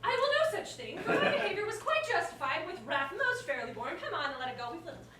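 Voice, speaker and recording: A woman's voice: very high-pitched, big pitch swings, fast. A woman playing a witty, proud, passionate common woman in a staged verse comedy, with theatrical delivery. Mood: passionate, indignant. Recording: roomy, distant mic, background noise.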